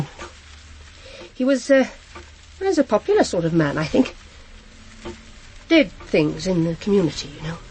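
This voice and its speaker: An actress playing a shocked, hesitant, proper middle-aged housewife who has been widowed, in a naturalistic radio drama. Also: female voice, medium pitch, darkish tone, a little hiss or hum, close to an everyday voice, rough recording. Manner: halting, flustered, repeats herself. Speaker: actor in a crime drama.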